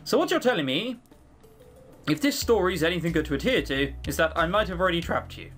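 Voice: mid-range voice, expressive, a little fast, a bit bright, soft background music, lightly acted. A game commentator voicing a haughty, curious female fiend with formal, questioning speech.

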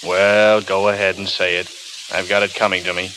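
An actor playing a charming, flippant, hard-drinking film star in a wry, teasing, theatrical 1930s radio drama style. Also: male voice, deep voice, little pitch movement, moderate pace, close to an everyday voice. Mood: wry, resigned. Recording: hissy, crackly old radio broadcast.

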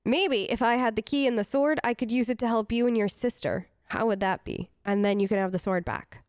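High-voiced chatty woman, dry close mic. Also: fairly quick, clean tone, no echo. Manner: chatty, reassuring, thinks aloud. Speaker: gaming host, role-play let's player. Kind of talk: in-character role-play game commentary, casual. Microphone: close microphone, dry voice-over.

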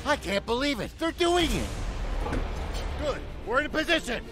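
Game casting: gruff older male tank driver, resolute and clever, very animated pitch, music underneath. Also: normal speed. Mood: urgent. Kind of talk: dramatic video game cutscene acting. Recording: loud music behind.

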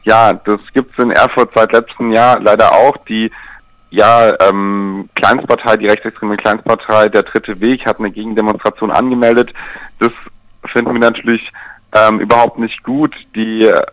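A man explaining things in a measured, structured way as a guest in a radio telephone interview. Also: lowish voice, little pitch movement, a bit bright, no echo, rough recording. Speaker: interview guest, LGBT pride event organizer. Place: remote, by telephone.

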